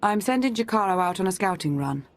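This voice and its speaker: An actress playing a composed intelligence chief in a video game, speaking formally and in a measured, articulate way. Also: female voice, medium pitch, lively intonation, close to an everyday voice. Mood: measured, serious.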